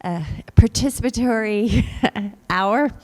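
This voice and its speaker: A woman's voice: a little high, slow pace. A woman emceeing a conference session and introducing the speakers casually, with fillers.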